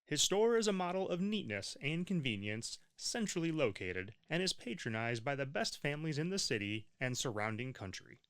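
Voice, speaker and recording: A man reading a scripted history narration in a measured, deliberate storytelling manner. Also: medium pitch, very animated, fairly bright tone, clear, resonant tone. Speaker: narrator of a history tour stop.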